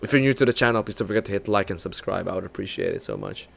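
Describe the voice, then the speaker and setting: A man's voice: low voice, very fast, vocal fry. A young Filipino man streaming as a VTuber, chatting casually and laughing often, with English that leans North American. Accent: light North American-leaning English, Filipino speaker. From Philippines. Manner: chatty, self-deprecating, laughs often, animated when retelling. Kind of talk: casual live-stream chat with viewers.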